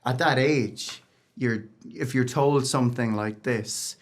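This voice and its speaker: A man in his thirties from Ireland, talking as a guest in a relaxed interview. His pitch sits in an ordinary middle range. He tells stories in a chatty way and often backs up to restart a sentence. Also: slow pace. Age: 30s. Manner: chatty and storytelling, with frequent restarts and laughs. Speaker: guest singer. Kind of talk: casual interview chat.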